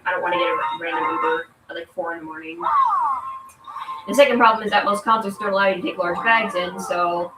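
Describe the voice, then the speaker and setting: A congested woman streamer chatting casually while gaming, in a rambling, informal way full of "like". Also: fairly low pitch. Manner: rambling, informal, filler-heavy "like". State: congested from allergies. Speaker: game streamer. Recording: echoey room, distant mic, loud music. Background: loud video game music.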